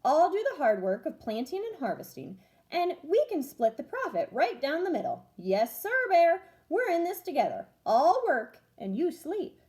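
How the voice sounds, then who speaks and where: Clever male hare for a storybook, played by a woman, high and swooping, exclamatory, coaxing trickster. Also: wildly swooping pitch, normal speed, darkish tone. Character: clever, eager male hare, fast-talking trickster neighbor. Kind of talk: children's storybook character voice.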